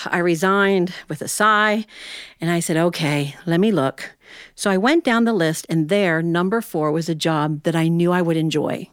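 An American woman telling a humorous personal tale as a storyteller, in a folksy, animated manner with dramatic pauses. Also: fairly low pitch, smooth, no room sound, studio quality. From Ohio, United States.

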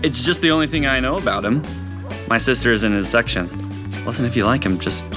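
A man's voice: mid-range voice, fast talker, music in the background, close to an everyday voice. An actor playing a sarcastic, teasing teenage boy, a loyal best friend, in an audio drama. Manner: wry, exaggerated teasing.

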